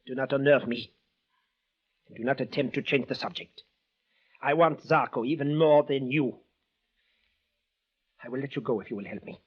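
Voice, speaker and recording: A man's voice: medium pitch, normal speed, pauses often, breathy edge, close to an everyday voice. Embittered male avenger played by an actor, stiffly formal and intense, old-time radio melodrama, muffled broadcast sound. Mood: agitated. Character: bitter, menacing disfigured man obsessed with revenge. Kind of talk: 1940s radio drama acting.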